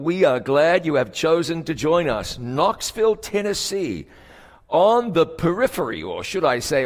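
Pastor, male, somewhat high voice, folksy storyteller who turns stories into lively play-by-play, long hall reverb. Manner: leads congregation in prayer. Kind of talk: sermon. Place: large church auditorium. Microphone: stage microphone with monitors.

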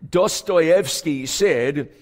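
A man's voice: on the high side, very slow. A man preaching a sermon in a folksy storytelling manner, leading his congregation in prayer from a church stage. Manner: folksy storytelling with lively play-by-play. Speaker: pastor. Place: large church auditorium. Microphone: stage microphone with monitors. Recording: long reverb of a large hall.

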